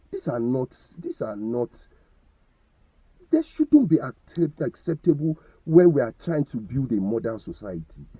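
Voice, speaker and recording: A man's voice: on the high side, no room sound, lo-fi sound. A Nigerian man giving impassioned opinion commentary, firing rhetorical questions and stumbling over repeated words.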